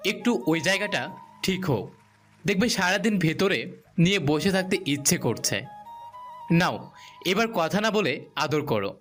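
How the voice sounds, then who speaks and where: A man's voice: high voice, fairly bright tone. A man playing a pushy, coaxing newlywed husband in a romantic audio drama. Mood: coaxing, earnest. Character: domineering, insistent young newlywed husband. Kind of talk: romantic audio story dialogue. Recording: music behind the voice.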